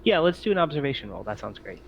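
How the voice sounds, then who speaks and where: A man's voice: medium pitch, big pitch swings, fast talker, creaky. A man working as a game master, improvising narration for a tabletop game and piecing descriptions together hesitantly. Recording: muffled, faintly noisy home mic.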